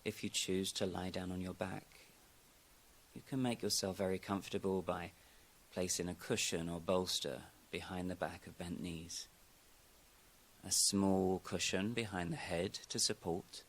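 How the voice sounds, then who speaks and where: Low, gravelly man guiding meditation. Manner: calm, unhurried, gently instructing with long pauses. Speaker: yoga nidra meditation teacher. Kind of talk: guided meditation, slow spoken instruction.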